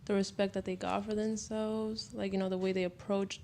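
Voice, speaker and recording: Gravelly young woman, even in pitch. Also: a little fast, slight background noise. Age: late teens. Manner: rambling, peppered with "like" and "you know". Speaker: podcast panelist. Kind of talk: casual chat.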